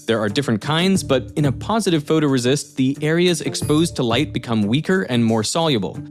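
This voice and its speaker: A man's voice: a little high, animated, brisk. A man narrating a documentary in a scripted, explanatory style. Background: background music.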